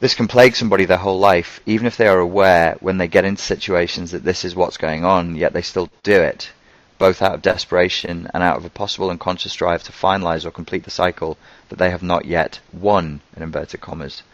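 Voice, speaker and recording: A man's voice: deep voice, steady tone, fairly quick, no room sound, highs cut off. A man from Merseyside with a toned-down Scouse accent, hosting a live online Q&A and chatting loosely as he answers viewer questions. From Merseyside, England. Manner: conversational and loose, thinking aloud.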